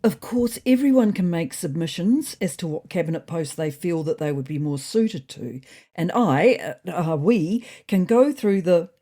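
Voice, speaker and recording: A woman's voice: on the low side, animated, moderate pace, dark tone. A woman voicing a bossy, self-important female cat who makes pompous, waspish speeches in a comedy story podcast. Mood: self-important, flustered.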